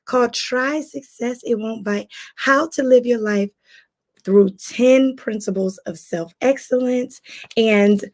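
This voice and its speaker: A hoarse woman, a life coach, hosting a casual livestream and greeting viewers by name. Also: mid-range voice, darkish tone.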